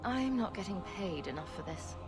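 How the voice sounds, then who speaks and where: A woman's voice: medium pitch, expressive, normal speed, vocal fry, long reverb tail, music in the background. A woman playing a sarcastic, wisecracking rogue adventurer in a video game, with a dry, unimpressed manner. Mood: wry sarcasm. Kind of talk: video game dramatic acting.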